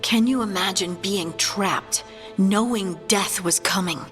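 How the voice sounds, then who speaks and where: An actress playing an earnest, curious dwarf scholar in a video game, explaining things thoughtfully. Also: female voice, medium pitch, unhurried, a bit bright, natural voice. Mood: pensive. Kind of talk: video game character acting. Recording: long hall reverb, faint music.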